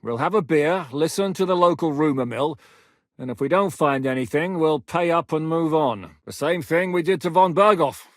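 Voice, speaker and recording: An actor playing a gruff, blunt one-eyed male commander who swears freely and jokes dryly in a video game. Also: on the high side, normal speed, dry recording, studio quality, lightly acted. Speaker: military commander.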